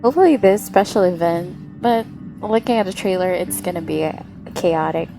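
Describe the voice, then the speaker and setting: A woman livestreaming a story game, reacting casually and excitedly with gushing, giggly fangirl comments. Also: on the low side, moderate pace, over music, poor audio.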